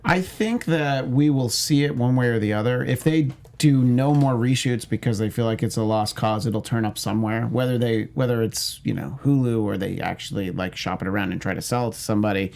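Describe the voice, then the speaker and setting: A man's voice: mid-range voice, brisk, gravelly, few pauses, a little mellow. A man co-hosting a panel show, talking through his thoughts in casual discussion. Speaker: panel co-host.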